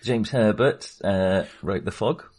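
A middle-aged British man with a low-pitched, distinctly husky voice. He chats casually about films as a podcast co-host, often pausing and groping for the right word. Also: animated, a little mellow. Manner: hesitant, searching for words. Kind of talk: casual film discussion.

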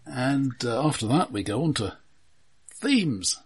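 A man's voice: mid-range voice, very animated, measured pace, slightly rough. A man co-hosting a casual film discussion, talking in a dry, wry way. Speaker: podcast co-host. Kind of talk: casual film discussion, reading out a chart countdown.

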